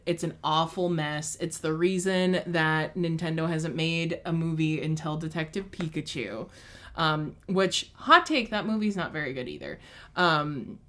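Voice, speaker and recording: A woman co-hosting a casual podcast chat, enthusiastic and opinionated, breaking into excited bursts. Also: deep voice. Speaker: podcast co-host.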